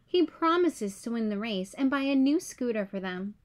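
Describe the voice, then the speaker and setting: A woman reading a children's picture book aloud as a storyteller, in clear, simple, expressive storybook delivery. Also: fairly high pitch, normal speed.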